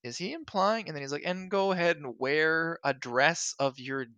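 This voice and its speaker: A man co-hosting a casual chat, excitable and acting out quotes with sudden loud bursts. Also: on the high side, normal speed, no echo, lo-fi sound. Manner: excitable, acts out quotes with big swings in loudness. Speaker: podcast co-host.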